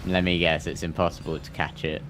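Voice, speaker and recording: A man's voice: low voice, level tone, brisk, a bit bright, a little hiss or hum. A man giving casual live commentary while playing a video game, sometimes reading game text aloud. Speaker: game livestreamer. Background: game music.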